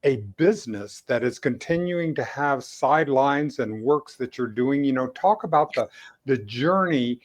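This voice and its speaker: A man hosting a conversational interview in a deliberate, encouraging manner. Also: mid-range voice, lively intonation. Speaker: interview host.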